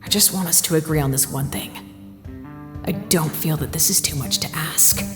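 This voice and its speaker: A woman acting in an audio drama as a driven, weary site director, insistent and deliberate. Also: deep voice, in a large hall, over music, natural voice. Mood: solemn, resolute.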